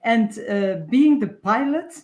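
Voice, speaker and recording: A woman on a discussion panel who speaks haltingly, with many fillers. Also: a little high, expressive, darkish tone.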